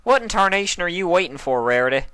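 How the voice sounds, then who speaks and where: A young farm mare from a cartoon fan story done by a man. She is folksy, blunt and impatient, with a raised pitch and bright, emphatic delivery. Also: very animated, fast talker, clean tone, no room sound, heavily acted.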